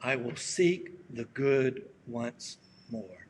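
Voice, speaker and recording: Slow, raspy-voiced man preaching gently. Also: mid-range voice, animated, a little hiss or hum, poor audio. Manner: gentle, halting, with many pauses and fillers. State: allergies acting up. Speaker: pastor. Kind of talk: slow reflective sermon.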